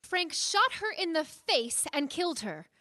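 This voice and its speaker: A woman's voice: very high-pitched, very animated, a little slow, pushed and bright, no echo, studio quality, heavily acted. A woman playing a feisty, exasperated member of an adventuring team in a radio comedy, indignant and protesting.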